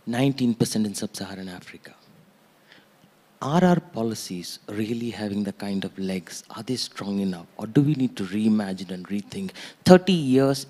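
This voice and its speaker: A man's voice: mid-range voice, mellow. A middle-aged man delivers a pointed question from a conference audience. He speaks emphatically and rhetorically, and his miked voice carries the long reverb of a hall. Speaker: audience member questioning a panel. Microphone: handheld microphone held close.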